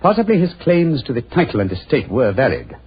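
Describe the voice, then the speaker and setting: An actor playing a shrewd, self-assured English detective in a 1940s radio drama, with a British accent and a crisp, analytical manner. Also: male voice, mid-range voice, very animated, brisk. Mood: brisk, deducing. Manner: crisp, analytical, slightly condescending. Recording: muffled, noisy old 1940s radio recording.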